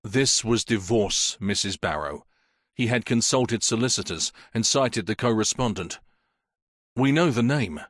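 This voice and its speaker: A man voicing a police inspector who questions a witness in a measured, firm way. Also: on the low side, expressive, fairly bright tone, dry recording, studio quality. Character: stern, patient male police inspector. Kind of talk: audiobook character voice.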